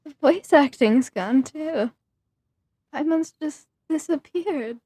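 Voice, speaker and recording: A young woman streaming a video game, muttering soft, drawn-out reactions as casual commentary. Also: high-pitched, no echo.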